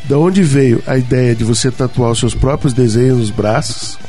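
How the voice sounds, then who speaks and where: A man's voice: medium pitch, moderate pace, dark tone, faint music behind. A man hosting a radio talk show, asking a guest questions with chatty, enthusiastic interjections. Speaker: radio host. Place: radio studio.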